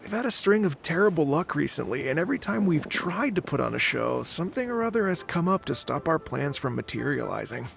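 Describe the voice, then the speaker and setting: High-voiced, courteous male musician. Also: big pitch swings, fairly quick, gravelly, few pauses, a bit bright, slight background noise, heavily acted. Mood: apologetic, hesitant. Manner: courteous, hesitant, formal. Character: polite, hesitant, exacting male musician and concert organizer. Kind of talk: video game cutscene voice acting. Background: faint game music.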